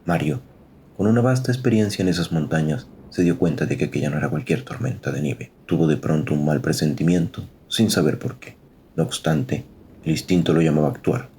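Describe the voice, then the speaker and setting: A man's voice: very deep voice, a little fast, creaky, mellow, slight background noise. A man narrating a scripted dramatic story as its storyteller.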